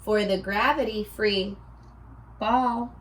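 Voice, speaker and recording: A woman's voice: mid-range voice, steady tone, slow, fairly bright tone, far from the mic, a little hiss or hum, voice pushed down. A woman voicing an eager, earnest young prince in a children's storybook, with dramatic exclamations. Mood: inviting.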